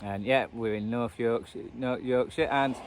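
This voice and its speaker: A man's voice: mid-range voice, fairly even intonation, measured pace. A man with a Northern English accent chatting casually and unscripted as a hobbyist vlogger, thinking aloud with hesitant, trailing phrases. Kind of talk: casual unscripted chat, demonstrating a radio band scan. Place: outdoors at edge of a churchyard. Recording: noisy outdoor recording with traffic ambience.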